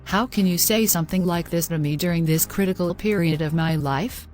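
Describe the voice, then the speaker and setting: A female actor plays a devout young girlfriend in distress in a moral-story audio drama. Her phrasing is formal and earnest. The voice is on the low side for a woman, over faint background music. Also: fairly quick, a little mellow, close to an everyday voice. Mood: anxious, distressed. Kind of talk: scripted moral-story dialogue.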